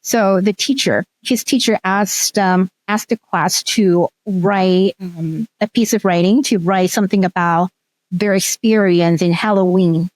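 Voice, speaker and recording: Woman, middle-aged, from Hong Kong, Cantonese native, mid pitch, dry close sound, thoughtful, lots of ums. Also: steady tone, unhurried, darkish tone, no room sound, poor audio. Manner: thoughtful, with frequent um and restarts. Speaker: researcher and guest. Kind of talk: casual interview storytelling.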